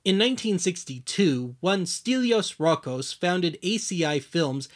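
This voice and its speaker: A man's voice: high-pitched, expressive, measured pace. A man hosting and narrating a scripted documentary series with a dry, wry manner.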